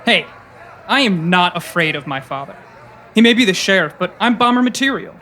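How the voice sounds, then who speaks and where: A man's voice: high voice, lively intonation, fairly quick, pushed and bright. An actor playing an eager, defensive teenage boy athlete in an audio drama. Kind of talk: scripted audio drama acting.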